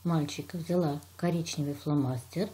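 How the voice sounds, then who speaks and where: A woman, a teacher giving step-by-step instructions in deliberate, short, simple phrases. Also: deep voice, mellow, rough recording. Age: 60s. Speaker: children's art and animation teacher. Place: indoor room, plain wall.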